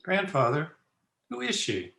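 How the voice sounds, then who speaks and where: An actor playing a polite, puzzled young student in a radio play, earnest and a little hesitant. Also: male voice, on the high side, huge pitch swings, a little slow, creaky, bright, forceful tone, full character voice. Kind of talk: old-time radio drama acting.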